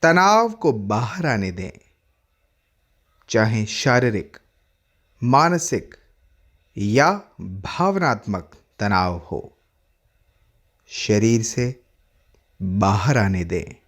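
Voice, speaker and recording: Very slow-paced man guiding meditation. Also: lowish voice, big pitch swings, fairly bright tone. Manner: short instructive phrases with long pauses, repetitive. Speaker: yoga instructor. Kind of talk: guided meditation, yoga nidra instruction.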